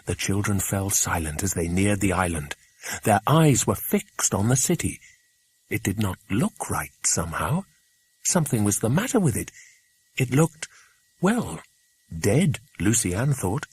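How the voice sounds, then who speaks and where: A man reading a children's adventure audiobook, an expressive scripted narration. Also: lowish voice, a little slow, breathy edge.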